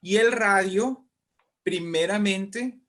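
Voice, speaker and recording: Mexican guy, voice a bit high, interview guest explaining stuff, emphatic and wordy, keeps checking you follow. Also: takes it slowly, fairly bright tone. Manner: emphatic, discursive, often checks with listener. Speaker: invited guest, writer and poet.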